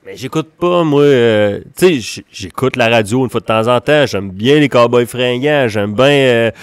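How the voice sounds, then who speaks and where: Man, Franco-Ontarian accent, smooth resonant voice, dry close sound, rambling casual talk. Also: mid-range voice, animated, moderate pace, no echo. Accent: Franco-Ontarian French. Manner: rambling, restarts often. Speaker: guest musician. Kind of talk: casual conversation.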